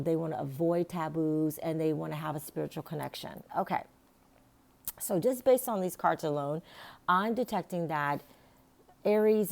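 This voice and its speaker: A woman with a raspy, hoarse voice giving a casual tarot reading, rambling with many fillers and restarts. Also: sits low, a little mellow. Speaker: tarot reader.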